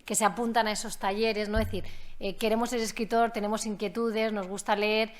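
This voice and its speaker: A woman's voice: medium pitch, flat delivery, brisk. A woman with a Spanish accent from Spain moderating a panel, asking questions with many hedging fillers.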